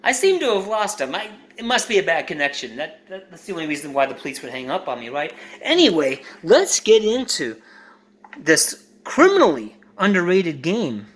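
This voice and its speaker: A man with a high voice who gives a comedic retro game review in an excitable, emphatic, jokey way. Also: normal speed.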